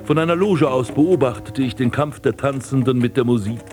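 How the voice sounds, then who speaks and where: An actor playing a vain, scornful prince and landscape gardener in a radio drama, speaking in pompous, ornate declamation. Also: male voice, mid-range voice, a little fast, a little mellow, soft background music, lightly acted. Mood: brooding storytelling. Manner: pompous, declamatory, ornate old-fashioned diction. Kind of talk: literary radio drama acting, monologue and dialogue.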